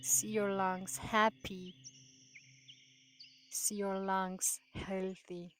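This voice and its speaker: A woman guides a meditation at a very unhurried pace. Her delivery is gentle and soothing, in short drawn-out phrases separated by long pauses. Music plays clearly behind her voice. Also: mid-range voice, flat delivery. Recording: voice over prominent background music.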